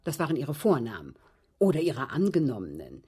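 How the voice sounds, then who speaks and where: An actress in a German radio drama playing a genteel, composed old English widow, precise and unhurried, slyly unrepentant, with a breathy, husky voice. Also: female voice, extremely low pitch, wildly swooping pitch, dark tone, exaggerated voice. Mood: wry indignation. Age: older. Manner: precise, ladylike, unhurried, evasive.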